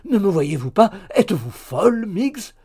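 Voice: medium pitch, animated, normal speed, dark tone, voice pushed up, natural voice. A reader voicing a pompous young man in a story, pleading with urgent, exasperated grandiloquence.

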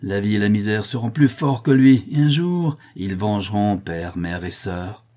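Audiobook villainess: savage, scornful condemned widow, low, husky and dark, read by a man. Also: moderate pace, lightly acted. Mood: savage exultation. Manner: cold fury, savage irony, scornful. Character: fierce, sneering, vengeful condemned widow, a criminal mother.

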